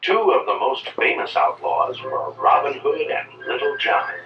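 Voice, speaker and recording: Male read-along narrator: lively scripted storytelling for children, on a dull, distant old record. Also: medium pitch, a little slow, echoey room, soft background music.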